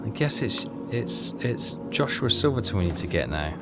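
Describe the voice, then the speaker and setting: A man's voice: lowish voice, big pitch swings, normal speed, loud music behind the voice. A man hosting a game playthrough, casually thinking aloud and reading bits of on-screen text as he works things out.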